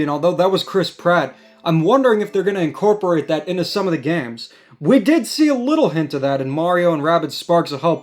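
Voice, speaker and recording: High-voiced man hosting a casual chat. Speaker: host of a gaming discussion. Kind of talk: casual discussion.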